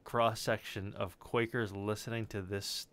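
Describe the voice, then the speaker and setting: A man's voice: fairly low pitch, flat delivery, a little slow, crowd noise. A man bantering casually on a livestream as one of the podcast crew. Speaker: podcast cast member on a livestream.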